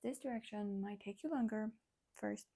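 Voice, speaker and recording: A woman's voice: mid-range voice, normal speed, creaky, warm tone. A young woman in her twenties with an Armenian accent, speaking English as a third language in a chatty, candid vlog, laughing often. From Armenian, living in Iran. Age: 20s. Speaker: vlogger. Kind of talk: casual talk to camera.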